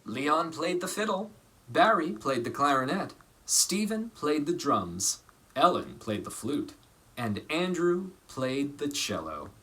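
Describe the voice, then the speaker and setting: Expressive male storyteller with lively, varied pitch. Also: slight background noise, poor audio. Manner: measured, dramatic phrasing with pauses for emphasis. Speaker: storybook reader. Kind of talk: expressive scripted storytelling for children.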